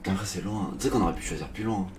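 A man's voice: sits low, racing, darkish tone. A man chatting casually while playing a role-play video game. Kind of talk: casual gaming chat. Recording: background noise, game ambience.

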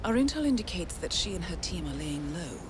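An actress playing a cool, composed intelligence handler in a video game, briefing an assassin in a measured, dryly wry manner. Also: female voice, on the low side, very animated, noisy background. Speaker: mission handler. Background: background music.

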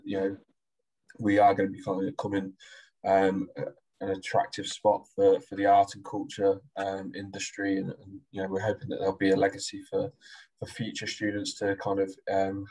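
A man with an English Midlands accent and a low, very monotone voice. He explains things informally on a video call, hesitating and repeating words as he goes. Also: husky, rough recording. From Coventry, England. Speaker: university representative on a panel. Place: indoor room, video call.

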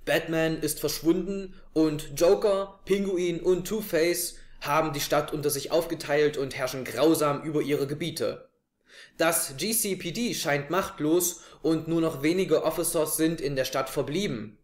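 A young man hosts a review show with a fairly high voice. He presents to camera in a living room, and the recording is clearly echoey. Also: unhurried. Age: 20s. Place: small living room, indoors on a couch.

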